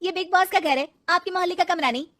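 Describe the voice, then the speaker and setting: A woman voicing a quarrelsome, cheeky young daughter-in-law in a cartoon story, shrill and sarcastic. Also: fast, fairly bright tone, dry recording, studio quality. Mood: indignant. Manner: shrill, sarcastic, indignant retorts.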